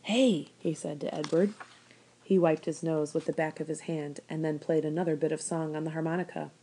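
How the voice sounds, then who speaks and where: A woman reading a children's novel aloud as expressive scripted narration. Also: low voice, fairly quick, a little hiss or hum, poor audio. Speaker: storybook reader, school staff member.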